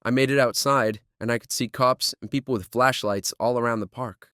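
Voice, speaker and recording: A man's voice: mid-range voice, fairly even intonation, a little fast, fairly bright tone, no room sound, clean studio sound, lightly acted. An actor playing a plucky young man who narrates and lives through a horror audio drama. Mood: tense storytelling. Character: Ben, plucky young man trapped in nightmare dimension. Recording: music behind the voice.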